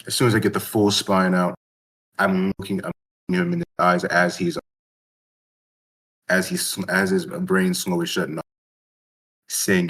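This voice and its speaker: A man playing a tabletop game, improvising action in a halting way and repeating phrases as he builds the scene. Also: low voice, a bit bright, husky, poor audio.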